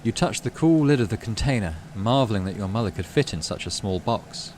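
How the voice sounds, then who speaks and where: Low-voiced man reading a game story aloud. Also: expressive, a little fast, a little mellow, a little hiss or hum. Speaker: gaming channel host. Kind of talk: dramatic read-aloud narration of a visual novel; casual let's play commentary between readings. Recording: game music behind the voice.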